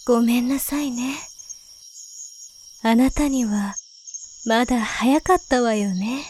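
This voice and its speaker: A woman playing an enigmatic elder sister in a Japanese audio drama, speaking softly and deliberately with gentle condescension and a quiet menace. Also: a little high, a little hiss or hum. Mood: solemn, knowing. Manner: slow, deliberate, soft feminine phrasing. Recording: nighttime cricket and insect sounds behind. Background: crickets, insect ambience.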